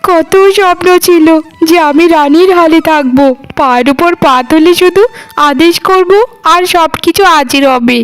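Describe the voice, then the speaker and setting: A woman's voice: very high-pitched, normal speed, rough recording. A woman voicing an exhausted, self-pitying, overworked young wife in a cartoon, plaintive and pleading. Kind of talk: cartoon voice acting.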